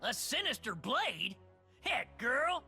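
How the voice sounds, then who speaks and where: A man voicing a cantankerous, crude little creature warrior from a video game, loud, folksy and raspy. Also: very high-pitched, sing-song, slow pace, faint music behind, heavily acted. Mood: brash, rowdy. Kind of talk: over-the-top video game character voice.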